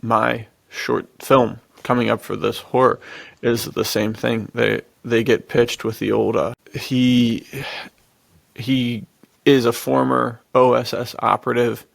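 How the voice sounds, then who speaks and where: A man's voice: mid-range voice, level tone, slow, vocal fry, no room sound. A man co-hosting a podcast in casual chat, with a jokey manner. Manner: jokey, laughs through his talk. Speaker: podcast co-host.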